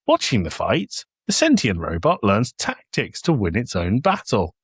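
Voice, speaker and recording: A man's voice: fairly low pitch, huge pitch swings, normal speed, husky, dry recording, studio quality. A man co-hosting a podcast, chatting casually and reading summaries aloud in a jokey, playful way. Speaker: podcast co-host.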